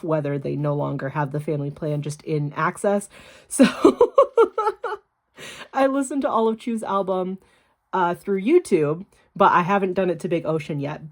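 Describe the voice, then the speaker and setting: A young woman with a hoarse voice, still recovering from being sick, sitting low in her range. She talks bluntly and casually, swearing freely and laughing often as she chats to her viewers. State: hoarse from illness. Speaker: YouTuber. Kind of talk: casual unboxing vlog with urgent appeal.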